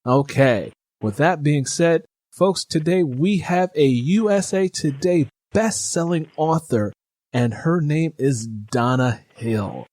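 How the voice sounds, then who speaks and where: A man's voice: fairly high pitch, lively intonation, slow pace, darkish tone, dry recording. A middle-aged American man hosting a podcast and interviewing an author.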